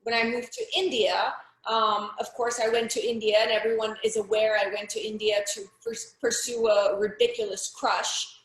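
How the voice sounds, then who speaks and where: A woman's voice: on the high side, level tone, normal speed, echoey room, rough recording. A middle-aged woman from Pakistan, speaking frankly and earnestly as an interview guest, often restarting her sentences. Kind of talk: personal testimony in an interview.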